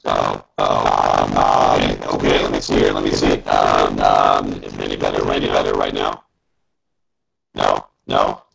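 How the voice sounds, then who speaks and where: Very low, gravelly man on a glitchy call. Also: completely flat delivery, measured pace, background noise. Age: middle-aged. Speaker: interview host, basketball coach. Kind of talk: casual interview chat. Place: living room at home. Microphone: computer microphone on a video call. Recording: dull, distorted, echoing internet call.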